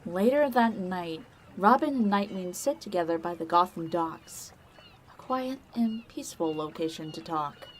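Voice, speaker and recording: A woman's voice: on the low side, lively intonation, measured pace, slight background noise. A woman narrating a scripted audio drama as storyteller.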